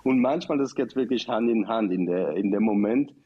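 A man with an Israeli accent talks as a radio guest, stopping and restarting often. His voice sits low and husky. The sound is slightly dull and muffled. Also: normal speed. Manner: hesitant, many fillers and restarts. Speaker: studio guest, professor. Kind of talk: radio discussion.

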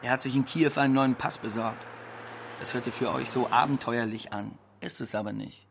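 A man's voice: mid-range voice, fairly even intonation, normal speed, gravelly, fairly bright tone, a little hiss or hum. An actor playing a wry, defiant undocumented Ukrainian migrant man, speaking a blunt, sardonic monologue in conversational style.

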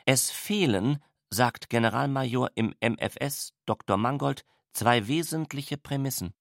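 A man reading scripted literary narration in a measured, formal, factual manner. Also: medium pitch, vocal fry.

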